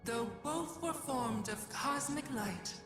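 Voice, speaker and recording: A woman voicing a solemn, mystical storyteller in a game, deliberately intoning rhymed celestial legend. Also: high-pitched, expressive, heavily acted. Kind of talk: rhymed mythic game narration. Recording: cavernous hall reverb under music.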